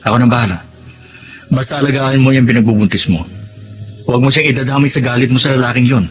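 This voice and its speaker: A man's voice: medium pitch, steady tone, fast, soft background music, natural voice. A man playing a protective older brother, earnest and reassuring, in a Filipino audio melodrama. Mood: calm, reassuring. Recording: faint background noise.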